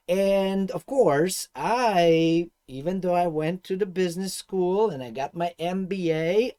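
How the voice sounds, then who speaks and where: Higher-voiced man with a Serbian accent. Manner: animated storyteller, emphatic, rhetorical questions. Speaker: host and instructor of an investing course. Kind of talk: informal personal-story lecture to viewers.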